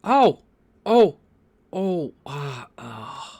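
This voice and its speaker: A man's voice: a little high, big pitch swings, very slow, vocal fry, a little mellow, slightly rough. A man doing casual game stream commentary, thinking aloud and often repeating words as he puzzles things out.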